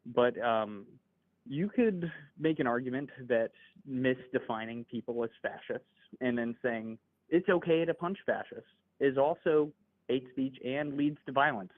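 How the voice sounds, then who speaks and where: A man in his twenties calling into a livestream, talking in a measured, hedging, polite way. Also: medium pitch, no room sound. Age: 20s. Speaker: caller. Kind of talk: casual political debate. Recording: tinny phone-like call audio, dry.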